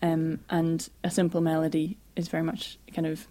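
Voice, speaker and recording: A woman's voice: low-pitched, completely flat delivery, moderate pace, mellow. A woman musician answering interview questions in a thoughtful, hesitant way. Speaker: musician guest.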